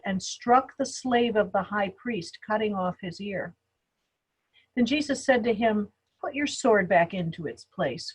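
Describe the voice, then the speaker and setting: A woman's voice: mid-range voice, slightly rough, low-quality recording. A woman pastor giving a dramatic reading of scripture over a video call. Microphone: video call microphone.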